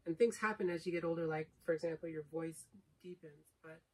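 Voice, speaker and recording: A woman's voice: low voice, brisk, husky. A younger woman thinking aloud in a casual chat with her partner, analytical and reflective, searching for her words. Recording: distant mic.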